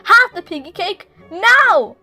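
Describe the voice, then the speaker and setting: A woman voicing an excitable, stubborn young girl rabbit from a picture book, parroting short phrases. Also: very high voice, slow pace, soft background music, voice pushed up, heavily acted. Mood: loud, demanding.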